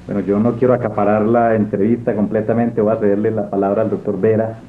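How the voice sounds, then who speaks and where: A man's voice: on the low side, flat delivery, quick pace, slight background noise. Male interviewer, polite and formal, roomy echo, narrow lo-fi sound.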